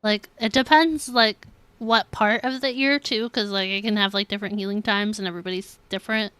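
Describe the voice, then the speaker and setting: A young woman livestreamer chatting casually with viewers in a halting, conversational, giggly way while playing a game. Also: medium pitch, fairly even intonation, fairly bright tone, smooth, no echo. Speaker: game streamer.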